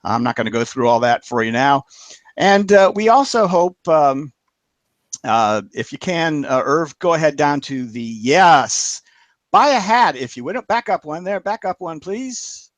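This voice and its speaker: A man hosting a web show, wrapping up with halting, filler-heavy announcements and friendly thanks to panelists and viewers. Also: a little high, animated, dry recording. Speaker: show host.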